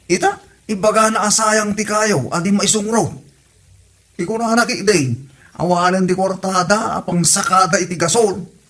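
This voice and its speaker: High-voiced grumbling Ilocano husband acted by a man. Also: steady tone, moderate pace, a bit bright. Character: exasperated husband grumbling about his spendthrift sibling. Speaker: actor in a comedy drama. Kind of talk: Ilocano comedy radio drama acting.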